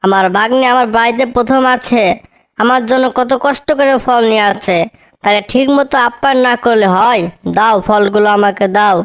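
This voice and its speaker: A woman's voice: a little high, fairly even intonation, a little fast, close to an everyday voice. A woman voicing a bossy eagle uncle, a cartoon villain with a commanding manner. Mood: welcoming. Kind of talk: children's cartoon story dialogue.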